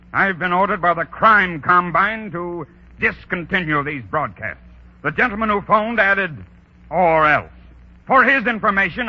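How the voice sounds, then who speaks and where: A defiant male crusading publisher in an old radio play, high-voiced and rough-edged. He declaims earnestly and emphatically. The recording is a muffled 1930s broadcast.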